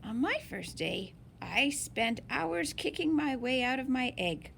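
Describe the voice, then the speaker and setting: A woman reading a picture book aloud in an expressive, deliberate way for young listeners. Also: sits high, gravelly, slight background noise. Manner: slow, deliberate, animated phrasing for young listeners. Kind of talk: expressive children's read-aloud.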